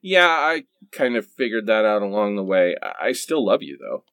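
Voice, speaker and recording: A man's voice: lowish voice, sing-song, normal speed, a bit bright, dry recording, clean studio sound. A man playing an amiable, sardonic husband in a radio play. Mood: accepting, wry. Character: amiable, sardonic husband making peace with heartbreak.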